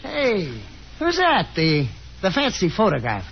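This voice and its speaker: A breezy, friendly young man in a 1950s radio play. His delivery is lively and informal, bouncing in pitch. The old broadcast is muffled with some noise. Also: unhurried, creaky, full character voice. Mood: jovial. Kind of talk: 1950s radio drama acting.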